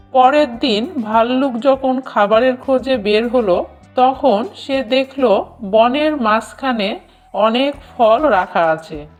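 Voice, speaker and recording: A woman narrating a children's cartoon story in a measured, expressive storytelling manner. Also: fairly high pitch. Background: background music.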